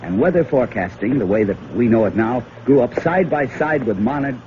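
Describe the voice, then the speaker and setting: A man's voice: mid-range voice, lively intonation, brisk, lightly acted. A man with an American accent acting an earnest, folksy small-town weatherman in a 1940s radio drama. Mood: explaining. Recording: muffled old radio recording with faint noise.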